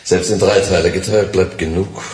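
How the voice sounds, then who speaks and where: Radio crime play: male actor as conspiratorial thief, low register, pleased and factual, roomy sound with faint noise. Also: fairly even intonation, moderate pace, a little mellow, natural voice. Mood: satisfied. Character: satisfied male robber counting shared loot. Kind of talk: German radio crime drama acting. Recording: echoey, slightly noisy radio play recording.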